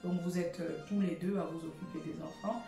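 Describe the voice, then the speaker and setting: A woman in her thirties answers interview questions in a lowish voice, hesitant and full of fillers as she gathers her thoughts. She sounds set back from the mic in an echoey room, with music underneath. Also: fairly even intonation, fairly quick, vocal fry, far from the mic. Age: 30s. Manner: hesitant, many fillers, giggly at first. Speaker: interview guest. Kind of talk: casual interview chat.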